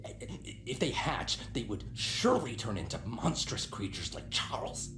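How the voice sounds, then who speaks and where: A man's voice: mid-range voice, big pitch swings, moderate pace, close to an everyday voice. A man playing a nervous villager in a video game, stammering and hesitating as he talks. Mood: anxious, earnest. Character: nervous, stammering male villager plotting against monsters. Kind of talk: video game character dialogue. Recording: echoey, loud music behind.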